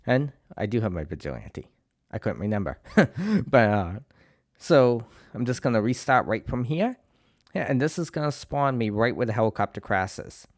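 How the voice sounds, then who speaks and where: A man with a New England accent and a slight lisp, talking through a video game mission in a relaxed, rambling way while fighting a cold. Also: mid-range voice, moderate pace, no room sound, studio quality. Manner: relaxed and rambling, many "uh"s and restarts. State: has a cold, clearing his throat. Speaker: game guide creator. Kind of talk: casual gameplay walkthrough commentary.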